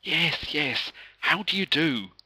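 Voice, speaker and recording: A man's voice: a little high, expressive, slow pace, bright, forceful tone, breathy edge, dry recording, voice pushed up, exaggerated voice. A man voicing an earnest, chatty young schoolboy in a children's story. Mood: surprised. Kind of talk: children's story read aloud.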